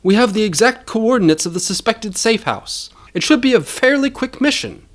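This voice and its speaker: A man's voice: high voice, expressive, normal speed, voice pushed up. A man voicing an officious, ruthless male handler of a hired assassin in an audio story, businesslike and scornful.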